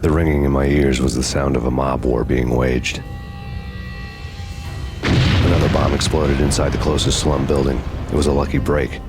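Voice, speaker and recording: An American actor playing a hard-boiled, cynical cop on the run in a noir video game, deadpan and world-weary. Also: male voice, a bass voice, moderate pace, long pauses. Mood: grim, brooding. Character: hard-boiled, cynical, brooding male cop turned fugitive. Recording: music behind the voice.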